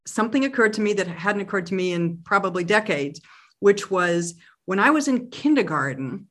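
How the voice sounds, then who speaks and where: Middle-aged businesswoman guest from Oklahoma, lowish voice, measured storyteller. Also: a bit bright. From Oklahoma, USA. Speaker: guest, company president. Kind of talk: interview storytelling.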